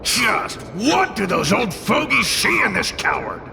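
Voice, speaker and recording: An actor playing a scornful, blustering demon spirit in a video game, with a gruff, mocking manner. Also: male voice, sits high, wildly swooping pitch, unhurried, over music, heavily acted. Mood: grudging, scornful. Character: brash, scornful male demon spirit bound to a timid girl. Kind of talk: video game character acting.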